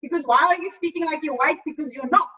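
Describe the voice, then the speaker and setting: High-voiced Cape Town woman on a video call. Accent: Cape Town South African. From Cape Town, South Africa. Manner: lively, quick restarts and repeated words. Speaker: host. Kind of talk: casual conversation. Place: small indoor room. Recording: dull lo-fi video call audio.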